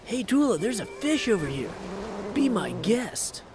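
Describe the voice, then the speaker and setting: A man's voice: high-pitched, sing-song, unhurried, background noise, voice pushed up. A man voicing a spirited young heroine from a mythological action game, eager and exclamatory. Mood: triumphant, eager. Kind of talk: video game character voice acting practice. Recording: loud game music over voice. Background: loud video game music.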